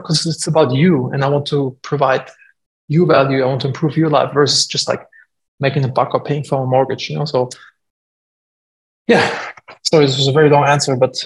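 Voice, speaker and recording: German-accented man with a gravelly voice. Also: medium pitch, rough recording. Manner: conversational, frequent fillers, self-deprecating. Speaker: guest entrepreneur. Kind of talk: casual podcast interview.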